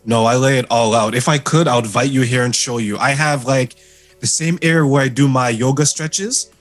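A man's voice: mid-range voice, a little fast, faint music behind. A man hosting a podcast, chatting casually and chuckling over a video call. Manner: relaxed, chuckling, conversational. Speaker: podcast host. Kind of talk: casual interview chat.

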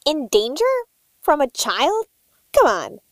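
Bubbly, high-pitched oblivious woman. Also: very animated, takes it slowly, dry recording, studio quality. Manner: bubbly, oblivious, cheerfully unbothered. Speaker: cast member. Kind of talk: comedic audio sketch.

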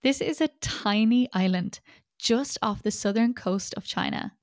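A woman's voice: medium pitch, a little slow, dry recording, studio quality. Chatty Irish woman hosting a podcast. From Ireland. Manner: chatty, self-deprecating and wry. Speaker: podcast host. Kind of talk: scripted podcast monologue.

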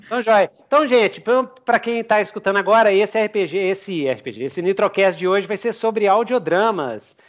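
Male Brazilian podcast host, high voice, informal and slangy, conversational interview style. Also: normal speed. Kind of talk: casual chat interview.

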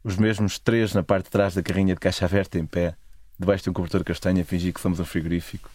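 A man's voice: sits low, flat delivery, quick pace, a little mellow, husky, no echo, clean studio sound. A man with a European Portuguese accent narrating a scripted, literary first-person travel story. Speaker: narrator of own travel stories.

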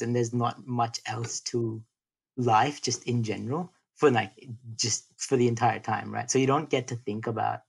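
A young man from North India, chatting casually as a podcast guest in a relaxed, rambling way full of fillers and restarts. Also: mid-range voice, creaky, slightly rough. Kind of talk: casual interview chat.

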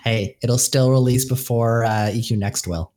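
Man, podcast co-host, mellow dark tone, dry understated humor, voice call. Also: mid-range voice, fairly even intonation, moderate pace, slight background noise. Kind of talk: casual gaming podcast chat. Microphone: computer mic on voice call.

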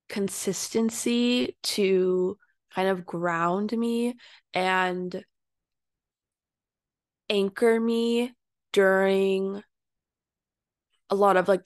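A young woman hosting her own podcast, reflecting aloud in a soft, unhurried way with fillers and restarts. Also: medium pitch, clean tone, no echo, studio quality. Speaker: podcast host. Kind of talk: reflective solo podcast monologue.

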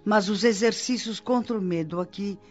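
Patient, didactic spirit mentor, Brazilian radio drama. High voice, reassuring delivery, faint music underneath. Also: male voice, moderate pace, highs cut off. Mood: patient, earnest. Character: wise, patient male spirit mentor, instructing a friend.

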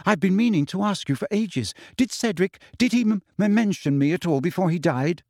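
A man's voice: sits high, animated, brisk, a little mellow, no room sound, clean studio sound, voice pushed up. A man voicing a hurt, jealous teenage girl who stammers as her voice breaks. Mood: hesitant.

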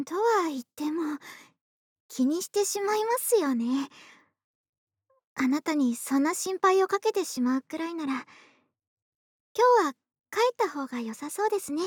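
An actress playing a gentle, polite, caring neighbor woman in an intimate ASMR voice drama, speaking closely to one listener. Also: high-pitched, lively intonation, measured pace, pauses often, dry recording, studio quality. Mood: apologetic. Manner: polite, soft-spoken formal speech addressed to the listener. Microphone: close ASMR microphone, dry studio.

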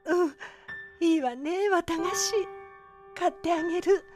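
Kind bereaved mother, female actor, high-pitched, soft feminine phrasing, radio drama with background music. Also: takes it slowly. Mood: tender, cheerful.

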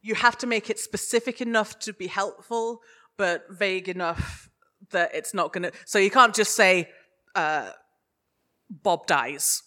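Bright-voiced woman speaking on a panel. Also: medium pitch, a little slow. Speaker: guest speaker, audio fiction producer. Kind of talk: informal panel talk. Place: live panel at a podcast festival.

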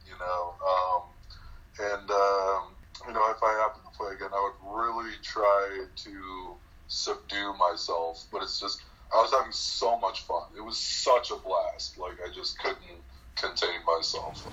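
A young man casually recounting his game in an interview, talking loudly and excitedly with lots of fillers and laughs. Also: deep voice, a little slow, muffled recording. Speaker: eliminated contestant guest.

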